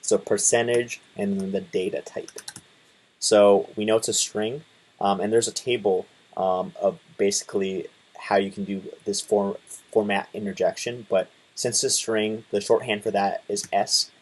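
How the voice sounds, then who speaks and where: A man in his twenties casually talking through a coding tutorial, relaxed, with lots of fillers and restarts. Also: lowish voice, normal speed, poor audio. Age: 20s. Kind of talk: casual screen-share tutorial.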